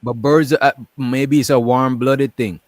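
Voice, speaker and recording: Casual livestream talker, male, from Guyana. Colloquial, reflective delivery, medium pitch, very little room sound. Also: fairly even intonation, unhurried, no room sound. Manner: colloquial, reflective, often checking he's understood. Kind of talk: casual chat on a group livestream.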